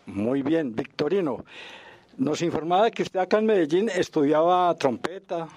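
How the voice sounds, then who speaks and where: Slightly high-voiced male radio interviewer. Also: lively intonation, normal speed, darkish tone.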